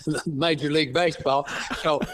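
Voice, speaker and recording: Older man in his 80s, East Texas accent, slightly high voice, halting speech full of fillers and restarts, occasional chuckles. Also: steady tone, fairly bright tone. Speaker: guest author. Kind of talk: casual interview talk.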